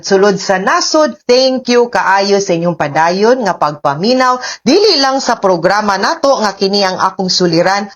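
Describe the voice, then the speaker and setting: A woman with a Cebuano accent hosting a radio advice show, chatty and informal, dropping in English asides and tag questions. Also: mid-range voice. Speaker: radio host and lawyer giving legal advice.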